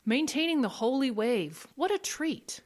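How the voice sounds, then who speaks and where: A woman's voice: high voice, expressive, a little slow. A woman playing an anxious, bitter, despondent woman in a naturalistic audio drama, sardonic and exasperated.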